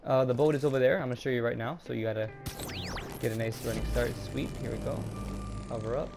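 Young man giving halting game commentary. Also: medium pitch, fairly even intonation, gravelly, over music. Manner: halting, many ums and restarts. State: slightly raspy throat, clearing it. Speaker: gaming YouTuber. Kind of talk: casual gameplay walkthrough commentary.

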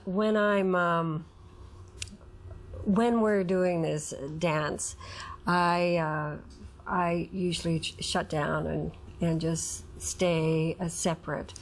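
A woman's voice: lowish voice, little pitch movement. A middle-aged woman speaking candidly and hesitantly about personal matters as an interview guest. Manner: hesitant, halting. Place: indoor studio living-room set. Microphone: lapel mic.

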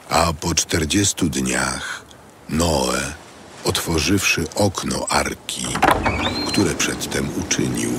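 Male scripture narrator: bottomless bass register, slow, solemn delivery, audible ambient effects behind. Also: noisy background. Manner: solemn, measured.

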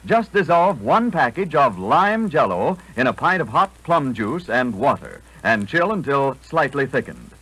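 Animated 1940s radio pitchman. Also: a little slow, hardly any pauses, husky, no echo, rough recording. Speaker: radio announcer. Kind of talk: 1940s radio ad read, polished and enthusiastic. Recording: vintage 1940s radio broadcast.

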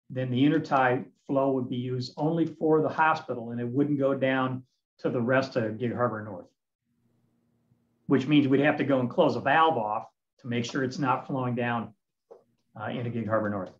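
A man with a mid-pitched voice speaks as a committee member on a video call. He is methodical and decisive, and the sound is somewhat dull and lo-fi. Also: fairly even intonation, moderate pace. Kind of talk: meeting discussion.

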